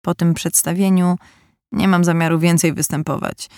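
A woman voicing a proper eldest sister who speaks in a composed, measured way. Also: lowish voice, level tone, darkish tone, dry recording, clean studio sound, lightly acted.

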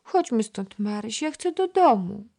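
A woman's voice: on the high side, sing-song, a little slow, vocal fry, dark tone, pitched above the performer's own voice, full character voice. A woman voicing a stubborn little peasant girl who whines and clings to her guardian. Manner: whiny, pouting.

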